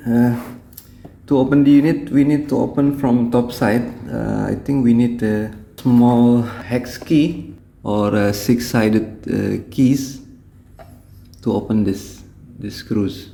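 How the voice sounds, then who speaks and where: A man with an Indonesian accent giving a hands-on repair tutorial in halting, simple phrases. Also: medium pitch, fairly even intonation, vocal fry, dark tone. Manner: halting, short phrases in simple non-native English.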